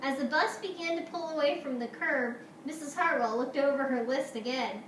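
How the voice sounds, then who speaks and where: Woman, schoolteacher, animated storybook read-aloud, high voice, echoey, a bit distant. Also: normal speed. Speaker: schoolteacher reading to pupils. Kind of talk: picture-book read-aloud. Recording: roomy, echoey, noisy home-style recording.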